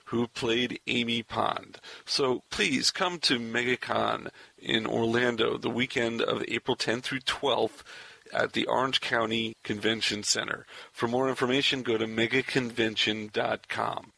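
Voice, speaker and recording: A man with a heavily gravelled, forceful voice hosts a podcast. He speaks straight to his listeners in folksy announcer patter, with a husky rasp running through his delivery. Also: medium pitch, no echo, rough recording. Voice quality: gravelly, raspy. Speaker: podcast host. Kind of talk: interview show hosting and sign-off announcements.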